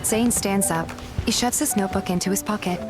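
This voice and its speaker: A woman playing a curious, polite young game heroine, narrating in the first person and speaking her dialogue in an earnest, gentle manner. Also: medium pitch, fairly quick, over music, lightly acted. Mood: earnest, reflective.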